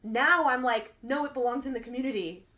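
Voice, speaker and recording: A woman's voice: sits high, a little fast, bright, forceful tone. A woman co-hosting a casual podcast, thoughtful and chiming in with asides. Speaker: podcast co-host. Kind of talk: casual chat.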